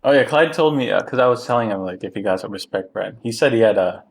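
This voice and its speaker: A man's voice: on the low side, expressive, fast, gravelly. Casual male podcast host, noisy room. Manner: informal slangy bro talk, swearing.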